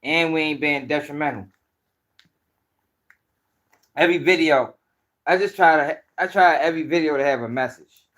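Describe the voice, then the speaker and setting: A man's voice: fairly high pitch, a little fast, a bit bright. Profane Baltimore man chatting loosely. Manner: loose, profane street slang, talking to viewers while handling dogs. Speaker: host of a commentary channel. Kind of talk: casual livestream chat.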